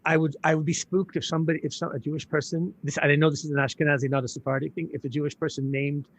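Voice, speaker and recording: Reflective man, slightly high and husky. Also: flat delivery, racing. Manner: thoughtful, hesitant, restarts often. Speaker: host. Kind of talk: casual interview conversation.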